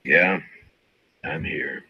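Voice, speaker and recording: A man's voice: extremely low pitch, drawn-out words, breathy edge, muffled recording, heavily acted. An American man playing a stoic, stern frontier lawman in an old-time radio western, terse, dry and authoritative. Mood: firm, guarded. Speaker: lead actor in a radio western. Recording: noisy vintage radio drama recording.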